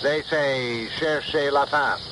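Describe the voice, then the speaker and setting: An actor playing a grave newsreader in a 1970s radio drama, declaiming a scandal in measured dramatic phrases. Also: male voice, mid-range voice, steady tone, natural voice. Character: newsreader gravely announcing a scandalous society murder. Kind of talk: 1970s radio drama acting, news bulletin delivery. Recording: noisy 1970s radio broadcast recording.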